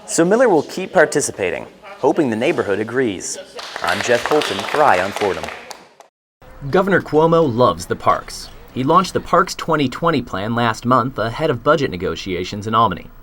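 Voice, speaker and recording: A man's voice: mid-range voice, big pitch swings, normal speed, fairly bright tone, slight background noise. A man with an American accent reading a scripted news report as a reporter and anchor.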